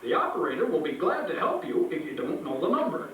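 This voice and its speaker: Man voicing a high, swooping, earnest puppet host. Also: very animated, fast, a bit bright. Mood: earnest, friendly. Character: friendly, earnest puppet host teaching children fire safety. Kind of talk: scripted puppet show narration. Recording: echoey hall, distant, faint noise.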